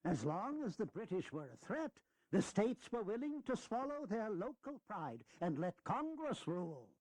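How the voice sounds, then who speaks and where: A man's voice: high voice, big pitch swings, moderate pace, a little mellow, no room sound, rough recording. An actor playing a shrewd, teasing eighty-year-old statesman in a historical audio drama, lecturing in a folksy, wry way. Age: elderly.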